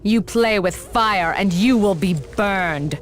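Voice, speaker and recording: A woman's voice: mid-range voice, slow pace, slight background noise, lightly acted. A woman playing a proud, righteous warrior goddess in a fantasy game, solemn, formal and commanding. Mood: defiant, wrathful. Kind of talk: dramatic fantasy game acting. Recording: voice over music.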